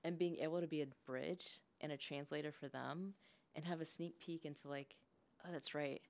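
A woman's voice: deep voice, steady tone, normal speed, a little mellow. A woman who grew up speaking English and Spanish, talking casually and reflectively as a guest, often tagging thoughts with "like" and "right?". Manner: frequent "like" and "right?" tags. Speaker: podcast guest, business leader.

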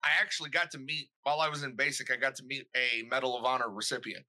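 A man's voice: mid-range voice, steady tone, quick pace, bright, forceful tone. A man, a military veteran, chatting casually on a podcast and telling stories. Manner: storytelling, steers the conversation.

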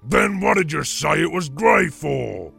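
A man voicing a belligerent, brutish troll with a rough, coarse voice in a storybook reading. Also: fairly high pitch, big pitch swings, a little slow, fairly bright tone, faint music behind, full character voice. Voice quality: rough, gravelly. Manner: blustering, coarse rustic speech. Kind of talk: audiobook character voice.

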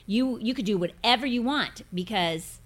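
A woman's voice: a little high, normal speed, a bit bright. Forthright woman in her fifties. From grew up in Pentecostal churches. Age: 50s. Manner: forthright, preachy, emphatic. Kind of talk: casual podcast chat on faith.

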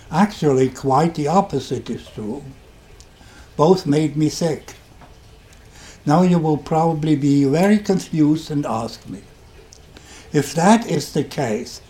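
An older man with a German accent, telling his own story on a podcast in a deliberate, wry and dryly sarcastic way. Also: on the high side, mellow. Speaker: podcast host. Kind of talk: first-person storytelling podcast.

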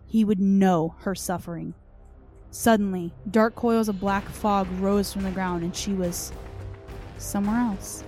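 A woman narrates a dark horror story as a dramatic scripted reading. She delivers it theatrically in deliberate, broken phrases at a middle pitch, with music behind her. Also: fairly even intonation.

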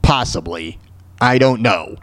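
A man hosting a solo radio show, giving informal, opinionated commentary on the news in a halting, repetitive way. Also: mid-range voice, expressive, a bit bright, no room sound, clean studio sound. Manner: informal, halting, repeats words and phrases for emphasis.

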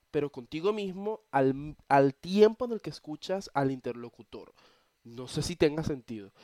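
A man's voice: fairly high pitch, no room sound, studio quality. A young man from Venezuela with a Venezuelan accent, hosting his own podcast from his bedroom in a chatty, playful way. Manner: chatty, expressive, playful asides to listeners. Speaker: podcast host. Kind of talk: casual solo podcast monologue.

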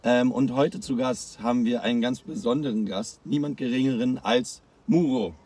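A male podcast host, a bit hoarse, talking upbeat and slangy while interviewing a guest. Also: medium pitch, normal speed, darkish tone, recorded from a distance. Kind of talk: casual interview chat.

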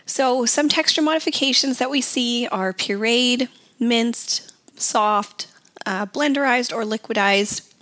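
A woman hosting an educational podcast as a dietitian, her voice raspy from a summer cold, speaking in an earnest, advocating way. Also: a little high, slow pace, fairly bright tone.

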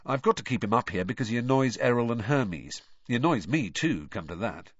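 A man's voice: mid-range voice, lively intonation, brisk, no room sound, close to an everyday voice. A man doing a sarcastic teenage boy. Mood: grumbling. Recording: dry, slightly dull studio sound.

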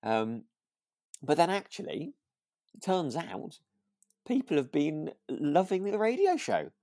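A British man co-hosting a podcast in relaxed, casual chat, musing and often correcting himself as he goes. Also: fairly high pitch, slow pace, no room sound, studio quality. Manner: hesitant, musing, self-correcting. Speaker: podcast co-host. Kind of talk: relaxed casual chat about a radio drama.

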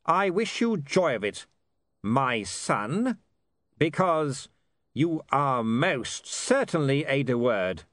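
A man's voice: medium pitch, big pitch swings, slow pace, fairly bright tone, dry recording, voice pushed up. A man voicing a proud, cold, older aristocratic father in an audiobook, formal and pompous. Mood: coldly bitter. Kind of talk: audiobook character dialogue.

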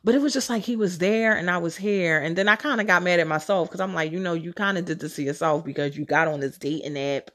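A woman with a slightly low voice hosts a podcast solo. She talks casually and candidly, like telling friends a personal story, with slang and the odd swear word. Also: fast talker, few pauses, fairly bright tone. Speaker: podcast host.

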